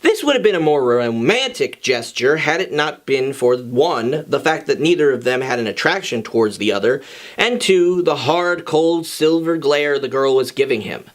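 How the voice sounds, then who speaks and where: A man narrating a story in an animated, dramatic reading style. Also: mid-range voice, normal speed. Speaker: fan fiction reader. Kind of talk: animated scripted story narration with acted dialogue.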